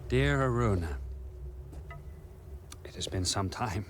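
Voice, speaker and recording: An actor playing a smug, menacing villain in a cinematic video game, speaking in a formal, theatrical, condescending manner. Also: male voice, on the low side, animated, slow pace, a little hiss or hum. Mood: condescending menace. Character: smug, menacing male villain and faction leader.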